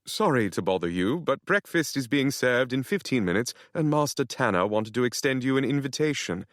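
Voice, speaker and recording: A man's voice: medium pitch, lively intonation, moderate pace, no room sound, clean studio sound, pitched above the performer's own voice, lightly acted. A man voicing a small, fussy male butler with a British accent and a formal, overly polite manner.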